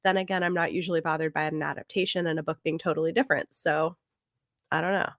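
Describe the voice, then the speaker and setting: A woman with a slightly low-pitched voice, recorded dry and close. She co-hosts a podcast and talks casually about books. Her way of speaking is tentative and conversational. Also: fairly even intonation, fast talker, no echo. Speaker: podcast co-host. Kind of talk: casual book discussion.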